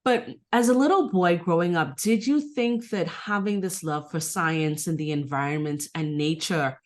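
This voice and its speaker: Lowish-voiced, enthusiastic Bahamian woman host. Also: normal speed. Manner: enthusiastic, chatty. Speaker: radio co-host. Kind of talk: conversational radio interviewing.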